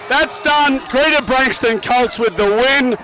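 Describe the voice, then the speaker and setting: A man's voice: very high voice, measured pace, pushed and bright, some chatter behind, poor audio. An Australian man co-commentating after a rugby league match, enthusiastic and matey. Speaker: sports co-commentator. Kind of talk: post-match commentary chat.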